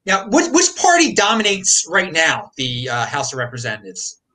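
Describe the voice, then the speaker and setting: A man's voice: on the high side, huge pitch swings, normal speed, bright, forceful tone. A man hosting an interview, asking curious questions with hesitant fillers. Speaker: interviewer, host. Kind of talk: interview conversation.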